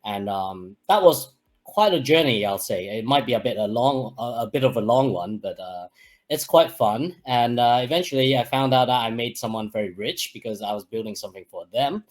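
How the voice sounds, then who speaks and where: Relaxed man from Hong Kong. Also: medium pitch, normal speed. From Hong Kong, raised in the UK. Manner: relaxed, rambling storyteller. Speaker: guest. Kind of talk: casual interview chat.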